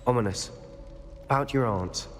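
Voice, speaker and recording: A man's voice: mid-range voice, moderate pace, close to an everyday voice. A man playing a bold, bookish, earnest teenage wizard schoolboy in a video game. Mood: contrite. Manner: eager, earnest. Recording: echoing hall, music underneath.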